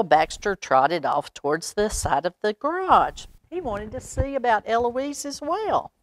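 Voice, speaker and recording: An American woman reading a picture book aloud to young children in an expressive, unhurried way, with pauses and exclaimed asides. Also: medium pitch, no room sound. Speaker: storytime reader at a library.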